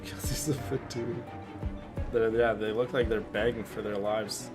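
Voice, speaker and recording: A male game streamer with a fairly low voice chats casually through his gameplay. He explains in an informal, halting way, with game music clearly behind him. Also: fairly even intonation.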